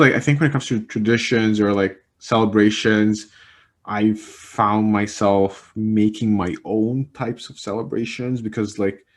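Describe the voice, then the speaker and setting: A young man from Egypt, an Arabic native speaker, with a fairly low voice. He answers interview questions in a relaxed, meandering way. He repeats himself and fills gaps with "like" and "um" as he thinks. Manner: rambling, many fillers and restarts. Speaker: interviewee, communication specialist.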